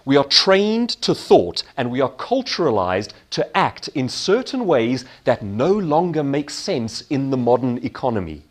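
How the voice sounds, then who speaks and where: A man's voice: mid-range voice, sing-song, a little slow, hardly any pauses. A man giving a motivational keynote talk in an emphatic manner, posing questions and answering them himself. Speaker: keynote speaker.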